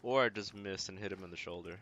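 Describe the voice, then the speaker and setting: A man's voice: low-pitched, fast, fairly bright tone, a little hiss or hum. A man streaming a video game, giving casual running commentary and often muttering to himself as he plays. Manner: muttering to himself while playing.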